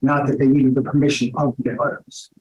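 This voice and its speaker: Hoarse man, parks director. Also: medium pitch, level tone, fast talker, darkish tone, lo-fi sound. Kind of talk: meeting discussion. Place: town meeting room.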